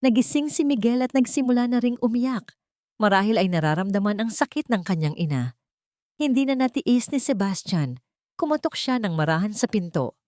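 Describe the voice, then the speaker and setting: A woman narrating a scripted dramatic love story as a storyteller, in measured, short dramatic phrases. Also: a little high, animated, a little mellow, dry recording, clean studio sound.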